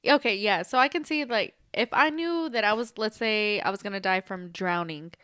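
A young woman co-hosting a podcast, talking stuffy from allergies in an animated, casual, swearing way. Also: medium pitch, moderate pace, clean tone, no echo, clean studio sound. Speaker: podcast co-host. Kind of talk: casual chat.

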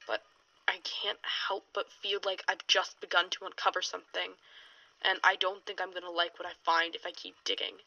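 A woman's voice: lowish voice, little pitch movement, normal speed, creaky, pushed and bright, muffled recording. A woman playing a hard-boiled, world-weary female paranormal investigator in a 1920s noir audio drama, with wry, slangy delivery. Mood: weary, brooding. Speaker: investigator and narrator. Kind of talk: audio drama, noir-style recorded case statement.

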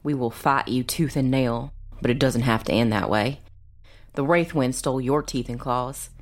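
Actress as a very low-voiced, cold witch. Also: normal speed. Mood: firm, defiant. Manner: sharp, cold, defiant. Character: hard, defensive witch mother, cold and proud. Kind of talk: audiobook drama acting.

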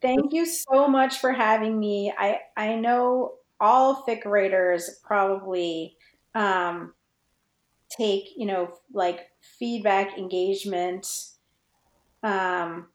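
A woman's voice: medium pitch, takes it slowly. A woman chatting casually as a podcast guest, informal and giggly, thinking aloud as she goes. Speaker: podcast guest, fan fiction writer. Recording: roomy, echoey sound.

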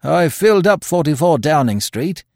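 Man acting male police superintendent, brisk report, emphatic, higher-pitched, businesslike, audiobook drama. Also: big pitch swings, darkish tone, dry recording, clean studio sound. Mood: confident.

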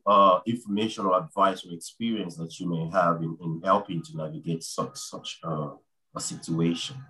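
Deep-voiced man, hesitant. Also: a bit bright, low-quality recording. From Nigeria. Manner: hesitant, halting with many fillers. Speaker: audience member asking a question. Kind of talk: question at an online panel.

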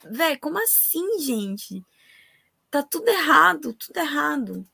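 Brazilian woman, podcast host, emphatic and lively, gravelly and dry. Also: sits high, a little slow, no room sound. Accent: Brazilian Portuguese. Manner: animated, emphatic, often exclaims "gente". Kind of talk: casual podcast interview.

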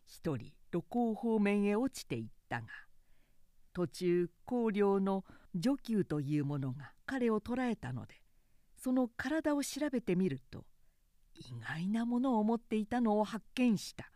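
A woman reads literature as a professional narrator. Her delivery is dramatic and measured, with animated intonation and careful enunciation, in a dry, close recording. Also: mid-range voice, no echo. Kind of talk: dramatic literary audiobook reading.

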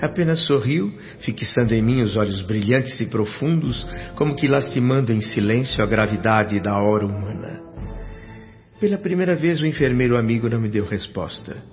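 An actor playing an earnest, astonished newcomer to the spirit world, speaking and narrating expressively in a radio drama. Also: male voice, mid-range voice, animated, moderate pace, lightly acted. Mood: wondering, troubled. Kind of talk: radio drama dialogue and first-person narration. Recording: slightly dull band-limited sound with music. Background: music.

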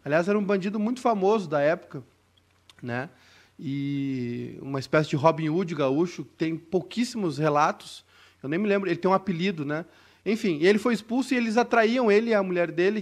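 A man with a southern Brazilian gaúcho accent, chatting casually and telling a story in a halting, rambling way, full of restarts and tag questions. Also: on the high side.

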